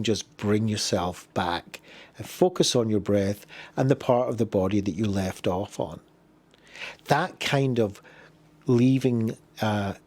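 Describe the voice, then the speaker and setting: Man guiding a slow body scan meditation. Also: on the low side. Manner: gentle, unhurried instruction with pauses. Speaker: meditation guide.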